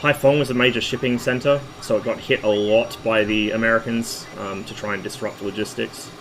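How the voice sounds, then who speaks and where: A hoarse man, losing his voice, casually talking through a flight-sim stream in a relaxed, rambling way. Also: on the low side, brisk, low-quality recording. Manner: relaxed, rambling, with fillers and asides.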